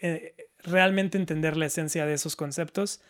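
A man's voice: on the high side, steady tone, moderate pace. A man chatting casually and reflectively, repeating words as he searches for the right phrasing. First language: Spanish. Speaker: panelist, learner of Japanese.

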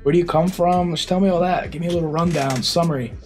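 A man's voice: a little high, fairly even intonation, quick pace, soft background music. A man hosting a podcast over a video call, asking questions eagerly and teasing his guest with laughter. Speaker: podcast host. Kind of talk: casual interview chat.

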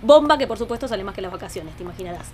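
A woman's voice: medium pitch, lively intonation, racing, a little mellow, slight background noise, natural voice. A woman playing an exasperated, talkative Argentine wife and mother in a naturalistic audio drama, rambling in conversation. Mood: venting, exasperated. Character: exasperated, talkative wife and mother venting about her husband.

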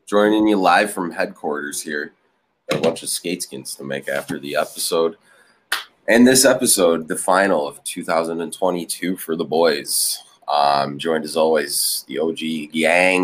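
Young male host with a low, nasally voice; brash, swears freely, casual. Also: a little slow. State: stuffy nose. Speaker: podcast host. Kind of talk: casual chat.

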